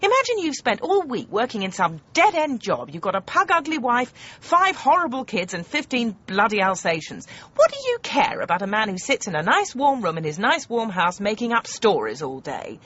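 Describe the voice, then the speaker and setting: A woman's voice: a little high, very animated, moderate pace, few pauses, fairly bright tone, muffled recording. A woman with a British accent acting a blunt, patronising television producer in a radio comedy drama, emphatic and cajoling. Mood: scornful, emphatic.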